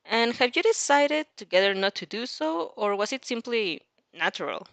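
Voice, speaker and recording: Woman as a polite, earnest schoolgirl, lifted pitch. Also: big pitch swings, moderate pace, gravelly, a bit bright, no echo, heavily acted. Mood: courteous. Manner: formal, courteous, inquisitive. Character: polite, earnest, formal teenage girl student.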